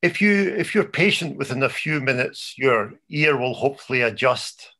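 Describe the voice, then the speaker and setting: A man's voice: medium pitch, lively intonation, normal speed, pushed and bright. Man with a strong Scottish accent. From Scotland. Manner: frequent fillers and restarts, self-deprecating. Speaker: conference speaker, journalist. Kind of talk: conference talk. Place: home in London over video call.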